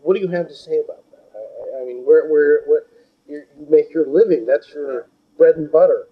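Husky man, dull lo-fi tape. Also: fairly high pitch. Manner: halting, searching for words. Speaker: interviewer. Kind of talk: informal interview questioning. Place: hangar used as an office.

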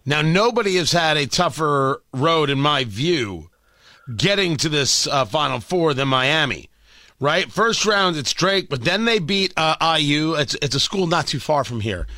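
Bright-voiced sports radio host. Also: male voice, on the high side, animated, measured pace, no room sound, studio quality. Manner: bantering, conversational. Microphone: studio mic.

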